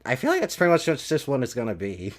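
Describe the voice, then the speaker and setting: A man's voice: medium pitch, wildly swooping pitch, quick pace, crowd noise. A man hosting a casual podcast chat, talking hesitantly and trailing off as he works through topics. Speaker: podcast host.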